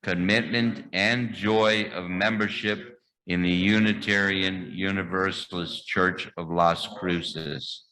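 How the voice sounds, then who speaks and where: A man leading a church membership welcome, reading the liturgy in a measured, formal way. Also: sits low, fairly even intonation, bright, forceful tone, low-quality recording. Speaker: membership committee leader. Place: church sanctuary.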